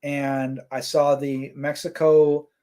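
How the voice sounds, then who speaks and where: A man's voice: fairly high pitch, slow, smooth. Laid-back man chatting on a live stream. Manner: relaxed, rambling, many fillers. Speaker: co-host reading live chat. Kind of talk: casual live-stream chat.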